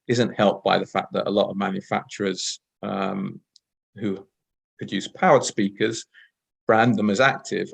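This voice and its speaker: A man's voice: fairly low pitch, vocal fry. A British man hosting a podcast interview, talking conversationally about technical matters.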